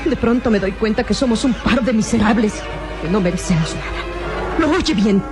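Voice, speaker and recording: A woman's voice: mid-range voice, expressive, moderate pace, lightly acted. A woman performs a young heroine crushed by guilt. She is anguished and despairing in old melodramatic radio-serial style, with music prominent behind her. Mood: despairing, bitter. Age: young adult. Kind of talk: old radio drama acting.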